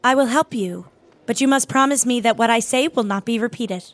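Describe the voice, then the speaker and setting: A woman's voice: fairly high pitch, brisk, close to an everyday voice. A woman playing a guarded, dignified lady in an audio drama, speaking in a composed, formal and reserved way. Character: guarded, curt, dignified divorced working woman.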